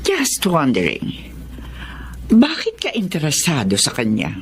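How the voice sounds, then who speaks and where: An inquisitive, lively-voiced businesswoman. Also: sits low, measured pace, slight background noise, exaggerated voice. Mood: curious, probing. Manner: probing, expressive. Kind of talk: Filipino radio drama acting.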